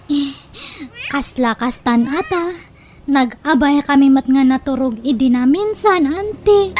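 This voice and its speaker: A whiny naive young village girl, really high voice, radio comedy, slight noise behind. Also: lively intonation, a little slow, a little mellow. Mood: tearful, sheepish. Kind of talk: comedy radio drama acting in Ilocano.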